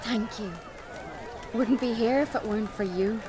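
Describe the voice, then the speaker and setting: A woman's voice: a little high, unhurried, noisy background, lightly acted. An actress playing an earnest, resolute young warrior queen in a video game, with a solemn way of talking. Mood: thoughtful. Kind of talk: game cutscene acting.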